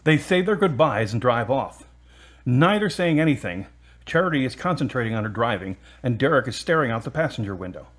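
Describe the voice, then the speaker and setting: A man's voice: medium pitch, sing-song, brisk, a bit bright. A man reading scripted scene narration for an audio drama.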